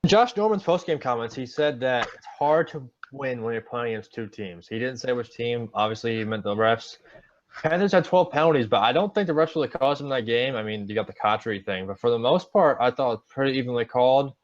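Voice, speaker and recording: A young man chats casually about sports on a podcast, cracking jokes and throwing in quick asides. His voice sits in a middle pitch, and the recording sounds somewhat dull and lo-fi. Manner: joking, animated, quick asides. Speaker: podcast co-host.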